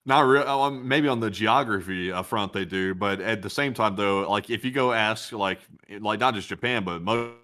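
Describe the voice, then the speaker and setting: A man's voice: on the low side, moderate pace, few pauses, pushed and bright. A man chatting casually as a co-host, joking around in laid-back slang. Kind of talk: casual chat.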